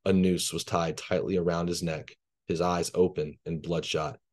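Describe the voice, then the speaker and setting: Low-voiced man from Louisiana. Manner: low, measured, even reading voice. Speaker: guest author. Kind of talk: reading his horror fiction aloud, then casual answers. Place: bedroom at home. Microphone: video call laptop camera.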